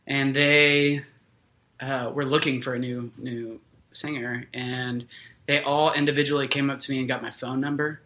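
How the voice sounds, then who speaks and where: A man in his late twenties with a bright, forward voice. He tells stories casually and informally, as a band singer being interviewed, at a medium pitch. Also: flat delivery, normal speed. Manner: colloquial, informal, frequent "like" and "dude". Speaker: guest, band vocalist.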